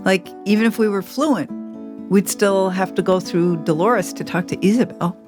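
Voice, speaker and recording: A woman's voice: mid-range voice, moderate pace, music in the background. A middle-aged American woman co-hosting a podcast, speaking reflectively and asking personal questions. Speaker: podcast co-host interviewing her grown child. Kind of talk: reflective interview and narration.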